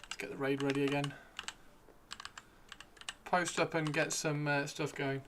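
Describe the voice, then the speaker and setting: A man hosting a casual live stream, chatting excitably and repeating his phrases, with his voice starting to give out. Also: a little high, unhurried, noisy background. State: losing his voice. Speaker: livestream host. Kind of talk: casual live stream chat while painting miniatures.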